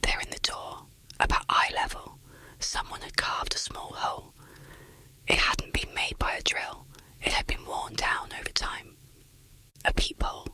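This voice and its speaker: Someone narrating an ASMR horror story in a whisper, with deliberate, dramatic delivery. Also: bright, forceful tone, dry recording, low-quality recording.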